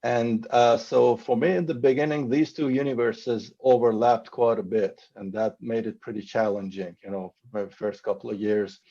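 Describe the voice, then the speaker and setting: A novelist, a Farsi speaker, talking thoughtfully and haltingly with many fillers on a video call. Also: male voice, mid-range voice, steady tone, mellow, husky, muffled recording. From Iran. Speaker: novelist guest. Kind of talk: online panel conversation.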